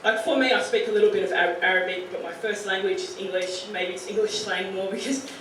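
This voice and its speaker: A young Australian woman in her late teens, speaking earnestly and casually to high school students, with many fillers like "you know" and "like.". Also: steady tone, fairly quick, a bit bright. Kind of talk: informal talk to a student audience. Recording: very echoey room, picked up from far off, faint hiss.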